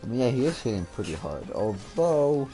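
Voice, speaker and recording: A man's voice: mid-range voice, big pitch swings, moderate pace, over music. A young man giving casual gameplay commentary, thinking aloud in a hesitant way. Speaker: gamer.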